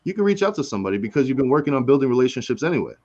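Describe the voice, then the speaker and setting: A forty-year-old man hosts a relaxed live call, his talk loose and rambling, full of "right?" and "man". His pitch sits in the middle, and the audio sounds a little dull and lo-fi. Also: very fast. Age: 40s. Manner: laid-back, rambling, says "right?" and "man" often. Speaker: host. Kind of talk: casual chat.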